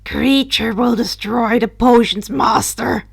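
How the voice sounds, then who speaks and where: A woman plays a vengeful little house elf servant, male and fierce. The storybook creature speaks slowly and vehemently, always in the third person. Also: fairly high pitch, steady tone, gravelly, slightly rough, exaggerated voice.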